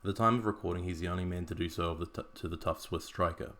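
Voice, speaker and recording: A man's voice: low voice, fast talker, fairly bright tone. A man with a New Zealand accent hosting a podcast, reading a scripted narration with dry, wry asides. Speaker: podcast host.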